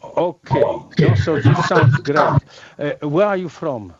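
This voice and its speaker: A man's voice: on the high side, slow, dull audio, over a noisy crowd. A man interviewing staff on a live webcast, asking short questions in non-native English.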